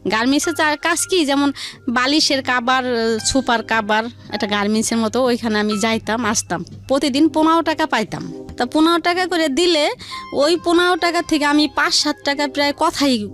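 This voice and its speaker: A woman's voice: high-pitched, moderate pace, fairly bright tone, soft background music. A woman with a rural Bangladeshi accent, telling her life story in plain, colloquial, repetitive phrasing as an interview guest. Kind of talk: conversational personal storytelling.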